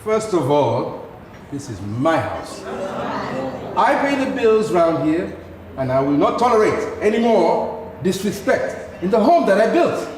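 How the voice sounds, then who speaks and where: A man's voice: high-pitched, big pitch swings, a little slow, a little mellow. An actor playing a stern, proud, wealthy father in a stage play, scolding his household with authority. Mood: stern, indignant. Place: theatre stage, recorded from a distance. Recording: echoey theatre hall from far off, faint noise.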